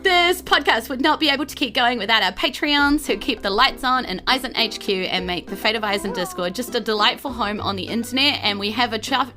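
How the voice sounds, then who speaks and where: A woman's voice: on the high side, a little fast, hardly any pauses, pushed and bright, soft background music. A woman with a New Zealand accent hosting a podcast, chatting informally through announcements and thanks to supporters. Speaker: podcast host.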